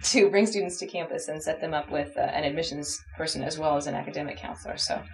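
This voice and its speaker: A woman on university staff interviewing a transfer student, brisk and saying "okay" often. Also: sits low, darkish tone, rough recording. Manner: brisk, frequent okays, plugs programs. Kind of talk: friendly scripted interview.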